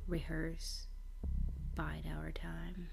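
A woman giving a slow dramatic horror narration as a quiet, vengeful bullied loner, hushed and half-whispered, with menace. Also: sits low, level tone, creaky, heavily acted. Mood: brooding, menacing. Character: quiet, vengeful bullied man confessing his nightmare. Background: music under the voice.

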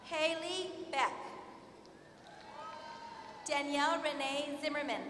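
A middle-aged woman formally reading out graduates' names with deliberate enunciation at a podium microphone. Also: high voice, steady tone. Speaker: commencement name announcer. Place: large indoor arena stage. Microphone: podium microphone through PA. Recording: echoey arena PA, background noise. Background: graduation ceremony ambience noise.

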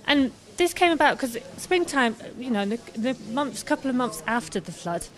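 A woman's voice: a little high, animated, normal speed, a bit bright. A young woman from Scotland, an artist being interviewed live in a pub, talking enthusiastically with many fillers into a handheld microphone. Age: young adult. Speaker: artist guest. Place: busy pub. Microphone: handheld mic held by host.

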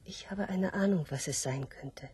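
A woman voicing a hopeful, philosophical sow in a literary radio play, speaking in a measured, breathy, husky voice. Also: fairly low pitch, background noise, lightly acted. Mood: hopeful, pondering. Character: hopeful philosophical sow awaiting her disappearance. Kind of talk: literary radio drama acting.

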